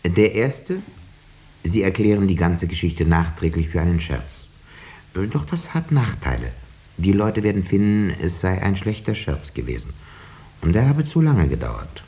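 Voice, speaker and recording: An actor playing a rational, measured village schoolteacher who narrates and advises in a 1950s radio drama. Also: male voice, deep voice, big pitch swings, mellow, full character voice. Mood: advising. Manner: measured, didactic.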